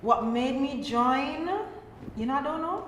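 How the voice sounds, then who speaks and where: A woman with a Jamaican accent, a stage actor chatting and telling stories in expressive patois as a podcast guest. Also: high voice, unhurried. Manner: animated, expressive patois storytelling. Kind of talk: casual group chat, storytelling. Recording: roomy echoing space, faint background noise.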